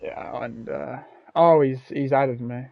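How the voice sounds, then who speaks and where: Hoarse young man calling a race. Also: medium pitch, fairly even intonation, takes it slowly, dull audio. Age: teens. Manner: shouts calls at the finish, then reads results and chats loosely with fillers. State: hoarse, voice nearly gone. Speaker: race commentator and stream host. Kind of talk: excited sim-racing commentary and casual chat.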